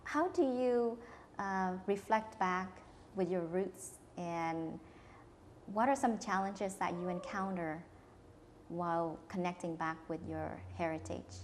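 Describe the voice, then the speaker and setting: A woman with a fairly low voice hosts a studio interview. She asks her questions in an encouraging, gently probing manner, with a faint hiss in the background. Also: slow pace. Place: television studio.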